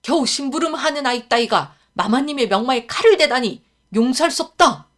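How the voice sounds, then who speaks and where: A woman's voice: sits high, lively intonation, a little fast, a bit bright, voice pushed up. A woman voicing a hot-tempered male bodyguard who barks harshly in a storybook reading. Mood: angry. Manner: harsh, barking.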